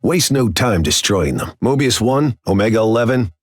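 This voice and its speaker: An actor playing a stern, composed air force commander, giving a formal, measured mission briefing in a video game with an authoritative delivery. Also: male voice, on the low side, sing-song, breathy edge, no echo, studio quality. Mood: serious, commanding. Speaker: military briefing officer.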